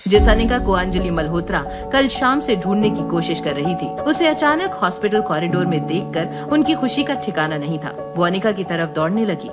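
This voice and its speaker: A woman's voice: on the low side, sing-song, moderate pace, few pauses, loud music behind the voice. A woman narrator reading a scripted dramatic story.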